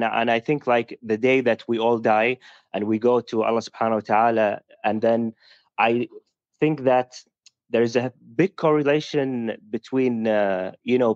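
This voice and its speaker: A man whose first language is Arabic, calling in over a video call and talking hesitantly, pausing often to search for words. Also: mid-range voice, dry recording. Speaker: caller. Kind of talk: casual call-in chat. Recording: dull, dry video call audio.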